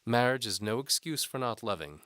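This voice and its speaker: Man voicing a high, coy, seductive noblewoman. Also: lively intonation, brisk, fairly bright tone, dry recording, close to an everyday voice. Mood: teasing, seductive. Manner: coy, imperious. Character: seductive, haughty noblewoman, teasing and commanding. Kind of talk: audio drama acting.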